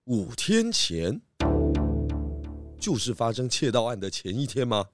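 A man playing a shrewd pig detective in a children's audio drama, speaking in a deliberate, theatrical sleuthing way. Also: medium pitch, big pitch swings. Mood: inquisitive. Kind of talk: children's radio drama acting. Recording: music behind the voice.

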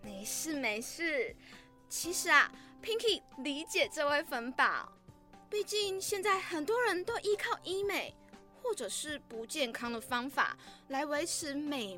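A woman playing a vain eighteen-year-old beauty livestreamer in a radio drama, cutesy and drawn-out in manner. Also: sits high, pushed and bright, exaggerated voice. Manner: cutesy, drawn-out, refers to herself by name.

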